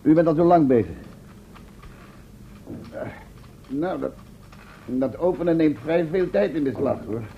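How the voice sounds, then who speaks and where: An actor playing a sceptical, matter-of-fact companion with a dry, mildly sarcastic manner in a radio play. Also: male voice, on the high side, animated, a little slow, pauses often. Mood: matter-of-fact, dismissive. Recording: muffled old radio recording, faint hiss.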